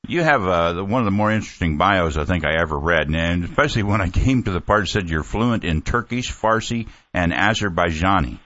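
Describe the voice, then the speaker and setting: Low, forceful talk radio host man. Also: expressive, a little fast, hardly any pauses, no room sound. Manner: rambling, easygoing. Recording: dull lo-fi broadcast audio.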